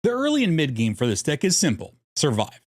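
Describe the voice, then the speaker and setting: A man's voice: a little high, huge pitch swings, brisk, dry recording, studio quality. A man narrating a scripted strategy guide in a jokey, irreverent way, casually swearing as host. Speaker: host of a card game deck guide.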